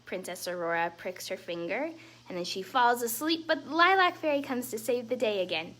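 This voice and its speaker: A woman reading a story aloud to children as a storytime host, expressive and breaking into chatty asides. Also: on the high side, brisk. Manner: animated, with chatty asides to young listeners.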